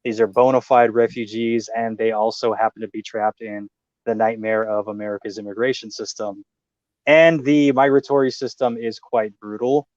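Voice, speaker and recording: An American man as a guest, explaining at length in a measured way with frequent pauses. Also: lowish voice, level tone, darkish tone, no echo, lo-fi sound. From United States. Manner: measured, explanatory, many uh fillers. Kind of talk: casual interview talk.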